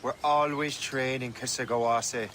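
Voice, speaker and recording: Man playing cheeky young trainee for a game; medium pitch, flippant, casual, faint outdoor ambience. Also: steady tone, measured pace, fairly bright tone, slight background noise, lightly acted. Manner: flippant, informal. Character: cocky, casual young male assassin trainee.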